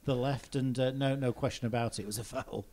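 A man's voice: mid-range voice, fairly even intonation, brisk, warm tone. A man working as a club TV interviewer, recapping the game and asking a player questions, with hesitant fillers. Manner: summarising play, hesitant fillers. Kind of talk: post-match interview questions.

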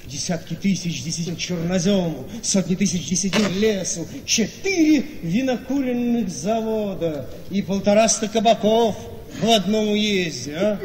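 High-voiced scheming handsome gentleman. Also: expressive, moderate pace. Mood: gloating, impressed. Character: calculating, self-satisfied handsome gentleman scheming for a fortune. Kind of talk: theatrical radio play dialogue. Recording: noisy, reverberant hall-like radio play recording.

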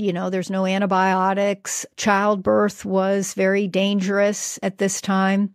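Woman podcast host, mid-pitched, articulate, dry recording. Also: a little mellow, no echo. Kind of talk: interview host questions.

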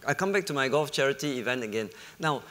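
A middle-aged man moderating a conference panel, explaining ideas in an animated way and fielding questions, on a lapel mic. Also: medium pitch, fast. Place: conference hall stage.

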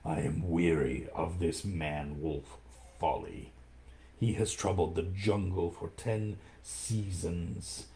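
A man voicing a cruel, menacing tiger in a low growling whisper. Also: fairly even intonation, slow pace, dark tone, a little hiss or hum.

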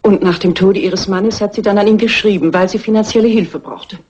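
A woman's voice: medium pitch, fairly even intonation, fairly quick, darkish tone, a little hiss or hum, lightly acted. An actress playing a timid, prim lady's companion with a meek, earnest manner, in German film dubbing. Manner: meek, earnest, easily affronted. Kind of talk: dubbed classic crime film dialogue. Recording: muffled old film soundtrack.

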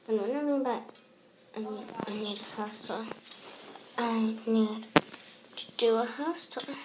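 A young girl giving a rambling, halting tour of a small apartment, filmed on a handheld phone that moves from room to room. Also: fairly high pitch. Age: child. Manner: rambling, halting, pointing things out. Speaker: vlogger giving a home tour. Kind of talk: casual home tour narration. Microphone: handheld phone camera, moving. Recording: noisy handheld phone recording.

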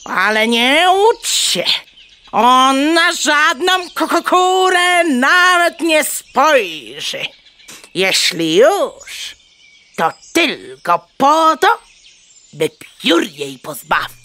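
High, bright, bossy mother hen. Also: big pitch swings, extremely slow, heavily acted. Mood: lecturing, haughty. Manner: clucking between words, scolding, dramatic. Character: bossy, excitable mother hen, easily exasperated. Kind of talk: children's audio play acting.

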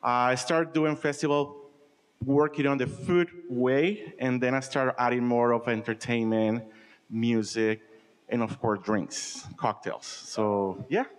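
A man's voice: medium pitch, fairly even intonation, unhurried. A man, chatting casually on stage into a handheld mic as a festival organizer. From Colombia. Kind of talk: casual onstage interview. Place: museum event room with live audience. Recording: long hall reverb.

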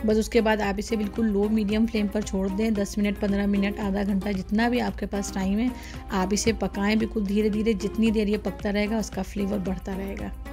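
A woman's voice: medium pitch, flat delivery, a little fast, over music. A woman hosting a cooking channel, talking through each step of a recipe in a chatty, casual way with plenty of fillers. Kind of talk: casual cooking demonstration voice-over.

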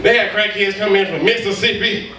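Rowdy young comedian with a high voice. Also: male voice, fairly quick, background noise. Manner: loud, rowdy, laughing at his own jokes. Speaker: stand-up comedian. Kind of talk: open mic stand-up set. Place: small coffee house stage. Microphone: handheld mic, far camera. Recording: echoey room from far off, music and loud crowd. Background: crowd laughter and music.

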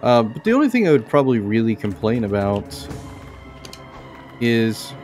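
A man's voice: medium pitch, big pitch swings, moderate pace, darkish tone. A man giving casual video game commentary, rambling and thinking aloud as he plays. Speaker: gaming video host. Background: game music.

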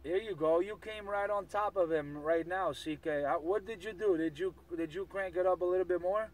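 A man's voice: high voice, moderate pace. A man making casual CB radio chatter in a slangy, repetitive way. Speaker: CB radio operator. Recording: noisy CB radio, heard from afar.

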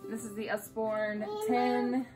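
A woman's voice: a little high, slow, over loud music. A woman in her thirties with an American accent, chatting casually as a homeschooling mother hosting a home vlog. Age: 30s. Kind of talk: casual unboxing vlog chat. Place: small furnished room at home, indoors.

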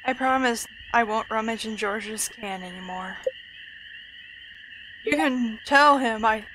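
A woman reading a timid, apologetic man's lines from a farming video game in a soft, gentle voice. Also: a little high, slow, a little hiss or hum, poor audio. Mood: sheepish. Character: timid, apologetic man living outdoors. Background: game music.

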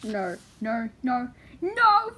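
A young woman casually reads aloud and comments, halting and excitable, swearing freely. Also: on the high side, a little hiss or hum. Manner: excitable, foul-mouthed, halting as she translates. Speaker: vlogger. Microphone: handheld phone filming the page.

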